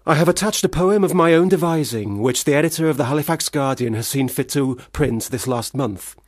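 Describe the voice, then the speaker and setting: An actor playing a troubled, self-doubting young man who drinks, theatrical, swinging between loud protest and muttering in a radio play. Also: fairly high pitch, brisk, no room sound, clean studio sound, natural voice. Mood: rambling, anxious.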